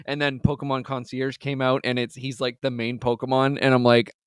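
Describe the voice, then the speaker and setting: Podcast host, man with a deep, resonant voice, loose rambling chatter, dry close sound. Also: level tone, fairly quick, clean tone, no room sound. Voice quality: deeper voice. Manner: laughs often, loose and rambling, swears casually. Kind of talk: casual interview chat.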